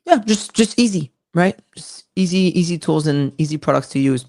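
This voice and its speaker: A man's voice: fairly high pitch, lively intonation, normal speed, dry recording, studio quality. Hyped, swearing male crypto podcast host. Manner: loud, swearing, hyped banter. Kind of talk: casual crypto show chat.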